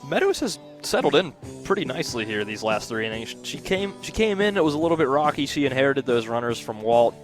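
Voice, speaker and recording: A man giving conversational color commentary on a softball game, explaining plays and now and then repeating his words. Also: mid-range voice, very animated, a little fast, hardly any pauses, faint music behind. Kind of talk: analytical sports commentary.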